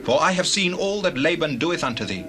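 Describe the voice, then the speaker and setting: An actor playing an earnest, righteous shepherd in a dramatized Bible reading, with declamatory delivery. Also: male voice, on the high side, expressive, a little fast, lightly acted. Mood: aggrieved, testifying. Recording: noisy scene with sheep and bird effects.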